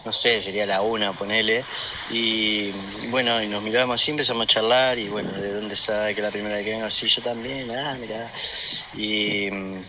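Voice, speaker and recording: Low-voiced Argentine man over loud background noise. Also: fairly even intonation, normal speed. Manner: hesitant, colloquial. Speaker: listener sending an audio message. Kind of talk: casual personal anecdote. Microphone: phone voice message.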